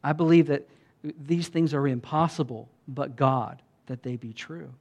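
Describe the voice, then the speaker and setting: A man's voice: on the high side, measured pace, darkish tone, muffled recording. A man from the United States, a pastor, telling a story in a sermon and acting out the lines of the people in it. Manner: conversational, acts out quoted dialogue.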